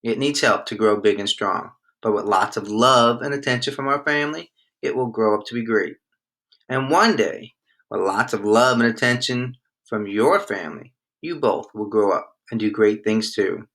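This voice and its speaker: A man reading the part of a loving storybook mother, gentle and encouraging with her children. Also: on the low side, moderate pace.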